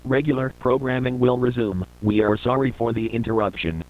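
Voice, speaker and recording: A man reading a scripted emergency broadcast announcement in a flat, formal, measured manner. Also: mid-range voice, narrow, tinny audio.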